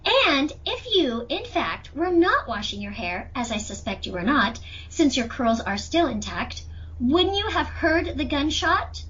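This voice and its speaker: A woman's voice: high voice, big pitch swings, unhurried, fairly bright tone, heavily acted. A woman acting a young female defense attorney in an amateur courtroom audio drama, with pointed, theatrical questioning. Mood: incisive, accusing. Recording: dull lo-fi audio.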